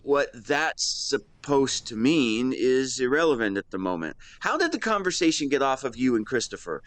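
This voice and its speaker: A man's voice: mid-range voice, big pitch swings, normal speed, fairly bright tone, no echo. A man in his twenties playing a devoted, protective and secretly lovesick best friend in a conversational audio roleplay, earnest and playful with some hesitation. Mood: flustered, curious. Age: 20s. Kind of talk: intimate one-sided roleplay acting, conversational.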